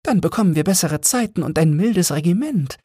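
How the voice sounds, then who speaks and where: A man voicing small, impatient, opinionated sparrows in a fairy tale audiobook, in a thin, high, breathy voice. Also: expressive, a little fast, warm tone, no echo, clean studio sound.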